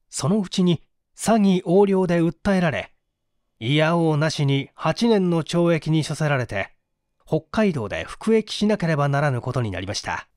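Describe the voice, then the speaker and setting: A man reading a mystery story as dramatic narration, with measured, theatrical phrasing and deliberate pauses. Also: fairly high pitch, dry recording, studio quality. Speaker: audiobook reader and small-theatre actor.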